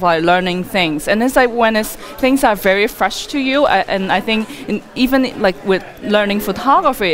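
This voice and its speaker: Female interviewee, medium pitch, informal and talkative, loosely strung sentences peppered with "like". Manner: rambling and chatty, frequent "like" as filler. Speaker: wedding photographer being interviewed. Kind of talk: conversational interview answers.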